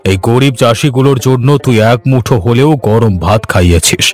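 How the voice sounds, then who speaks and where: A man's voice: medium pitch, normal speed, soft background music, lightly acted. A man playing a haughty, middle-aged village headman in a children's cartoon, speaking in a bossy way. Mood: humbled. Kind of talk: Bengali children's cartoon voice acting.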